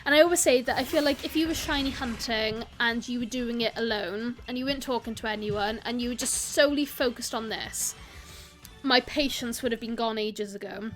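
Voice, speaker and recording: A young woman with a South Welsh accent chats in a bright, high voice while streaming a game. She rambles between topics, laughs a lot and welcomes viewers by name. Quiet game music plays in the background. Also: fairly quick, rough recording. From South Wales. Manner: chatty, giggly, greets viewers, rambling asides. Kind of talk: casual live-stream chat while gaming.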